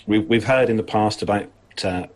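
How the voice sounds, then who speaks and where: A man hosting a podcast, introducing the next story in a casual, halting way. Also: lowish voice, faint music behind. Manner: hesitant, halting lead-ins. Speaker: podcast host. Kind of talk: casual chat.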